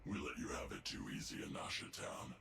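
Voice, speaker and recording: An actor playing a menacing, calculating villain in a video game, with a gravelly, sometimes breathy voice and a smug, condescending manner. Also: male voice, fairly quick, roomy sound, close to an everyday voice. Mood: smug menace. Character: menacing, calculating male villain of a tech-stealing faction.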